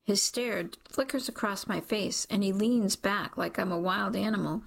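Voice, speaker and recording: Creaky-voiced woman reading a novel. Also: mid-range voice, normal speed, low-quality recording. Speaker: audiobook reader. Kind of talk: scripted first-person fiction narration.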